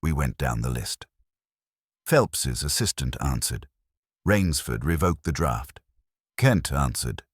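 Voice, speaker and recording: A man's voice: a bass voice, expressive, takes it slowly, long pauses, breathy edge, dry recording, clean studio sound. A man narrating a first-person detective mystery story from a script. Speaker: audiobook narrator.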